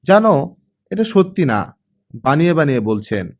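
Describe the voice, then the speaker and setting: A man's voice: on the high side, big pitch swings, moderate pace. A man voicing a curious, slightly fussy young girl in an audiobook story. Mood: doubting.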